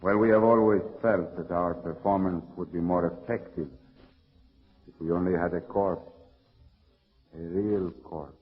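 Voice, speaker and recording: An actor playing a menacing, mock-polite masked bandit in old-time radio melodrama, on a muffled recording. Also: male voice, deep voice, fairly even intonation, unhurried, pauses often. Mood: mock-polite menace. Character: masked bandit, suave, sadistic, menacing male villain.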